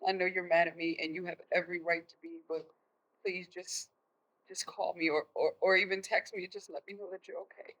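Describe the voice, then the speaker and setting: A woman playing a worried, guilt-ridden mother in a stage play, pleading and halting. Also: lowish voice, steady tone, a bit bright, rough recording.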